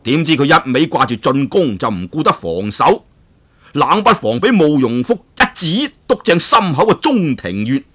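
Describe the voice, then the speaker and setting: A man from Hong Kong telling a martial arts tale in a dramatic, animated storyteller's manner. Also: fairly high pitch, moderate pace, slightly rough. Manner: animated, dramatic, chuckling asides. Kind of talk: traditional Cantonese storytelling of a martial arts novel.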